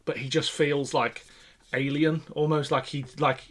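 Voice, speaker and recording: A man's voice: on the high side, level tone, unhurried. British man, casual podcast co-host. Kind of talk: casual chat about classic television sci-fi.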